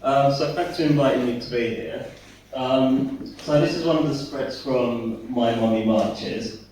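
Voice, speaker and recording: A man, an illustrator, talking through his work on a conference panel in a relaxed, hesitant way with many pauses. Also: medium pitch, a little hiss or hum. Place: conference hall. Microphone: distant room mic. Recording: echoey hall, distant mic.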